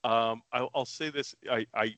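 A man co-hosting a casual podcast, hoarse and raspy as his voice comes back, talking in hesitant stops and restarts. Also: mid-range voice, fairly even intonation, normal speed, fairly bright tone, dry recording. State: hoarse, recovering from losing his voice. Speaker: podcast co-host. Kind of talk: casual chat.

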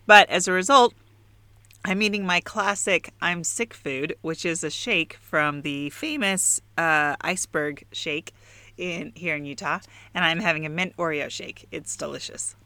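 A woman with a cold, chatting casually on a podcast with friends in a relaxed, friendly way. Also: low-pitched, unhurried, no echo. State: has a cold. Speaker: podcast co-host. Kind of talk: casual chat among friends.

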